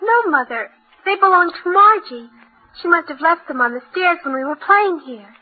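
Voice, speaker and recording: A woman's voice: very high-pitched, lively intonation, moderate pace. A woman playing a sly, jealous young daughter who hides hatred under childlike obedience, in an old-time radio drama. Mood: innocent, pleading. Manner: meek and childlike with parents, seething when alone. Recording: muffled, narrow-band old radio recording.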